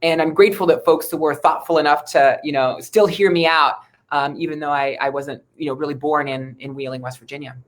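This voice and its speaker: A woman from Ohio with an American accent, answering interview questions in an earnest, measured, conversational way as a local politician. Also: deep voice, fairly even intonation. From Ohio, United States. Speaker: city council member, interview guest.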